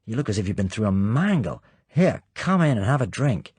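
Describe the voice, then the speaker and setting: A brisk middle-aged British station chief, hearty and clipped, his voice pitched up above the reader's own. A man reads him in a husky voice, recorded dry. He sounds urgent and lively. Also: no room sound.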